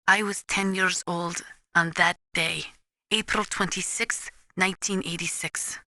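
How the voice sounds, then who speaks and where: A woman playing an earnest, resolute Ukrainian weapons analyst in a video game, speaking gravely and deliberately as if lecturing. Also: lowish voice, fairly even intonation, sharp tone, no room sound. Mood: somber, resolute. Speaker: military advisor briefing a soldier. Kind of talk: dramatic video game voice acting.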